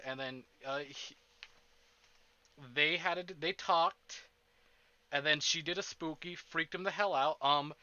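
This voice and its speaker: A man's voice: fairly high pitch, pushed and bright, dry recording, highs cut off. A man hosting a livestream in casual, rambling, informal chat with some mild swearing, speaking close to the microphone. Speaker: livestream host.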